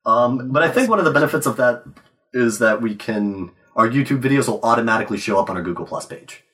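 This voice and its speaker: A man's voice: medium pitch, animated, quick pace, a bit bright, recorded from a distance. A young man co-hosting a podcast in casual chat, sounding congested and nasal from a cold, laid-back and swearing offhandedly. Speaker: podcast co-host.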